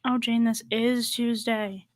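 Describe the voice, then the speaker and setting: A woman's voice: a little high, level tone, takes it slowly, dry recording. A young woman playing a naive, easily frightened roommate in an old-time radio comedy style. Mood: naive, earnest.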